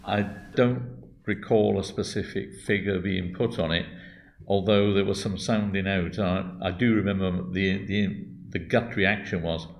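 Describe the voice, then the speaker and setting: A man's voice: low voice, fairly even intonation, a little slow, gravelly. A man answering questions on church accounts at a congregational meeting, hesitant and full of qualifiers. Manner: hesitant, with many restarts and qualifiers. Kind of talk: meeting talk, thinking aloud.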